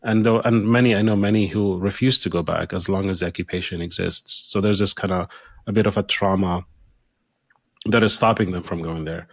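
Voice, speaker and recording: A man hosting a podcast interview, asking questions with lots of halting restarts and fillers. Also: sits low, vocal fry, no echo. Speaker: podcast host. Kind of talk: conversational interview.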